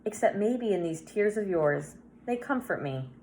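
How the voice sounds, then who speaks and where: A woman voicing a kindly, sorrowful old woman in a children's storybook, soft-spoken and gentle, on a noisy recording. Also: medium pitch, lively intonation, unhurried. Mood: tender, sad. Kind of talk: children's novel read-aloud.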